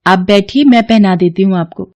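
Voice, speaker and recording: A woman's voice: medium pitch, moderate pace, darkish tone, close to an everyday voice. A woman voicing a humble, hardworking servant in a story, plaintive and respectful, with wistful longing. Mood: meek, respectful.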